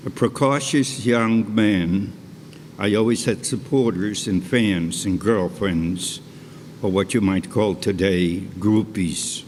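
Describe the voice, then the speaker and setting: An older man born in Poland, speaking English, tells his own life story on stage to a live audience in a slow, deliberate way, with chatty asides. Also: fairly low pitch, a little mellow, a little hiss or hum. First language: Polish. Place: concert hall stage. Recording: live hall recording with long reverb.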